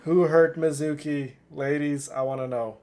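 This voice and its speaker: A man's voice: on the high side, steady tone, normal speed, darkish tone. A man chatting casually as a livestream host while he plays a game, effusive and affectionate toward his viewers.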